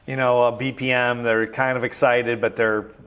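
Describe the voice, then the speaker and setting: Man asking measured interview questions. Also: medium pitch, little pitch movement, a bit bright, clear, resonant tone.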